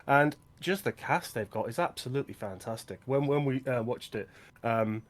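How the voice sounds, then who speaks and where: Enthusiastic man with a middle-pitched voice. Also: moderate pace. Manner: enthusiastic, with stammered restarts. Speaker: podcast co-host. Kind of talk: casual film discussion.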